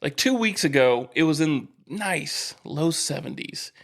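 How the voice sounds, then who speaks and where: A man's voice: on the high side, lively intonation, measured pace, vocal fry, fairly bright tone. A man co-hosting a podcast in casual banter, with a teasing, wisecracking manner. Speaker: podcast co-host.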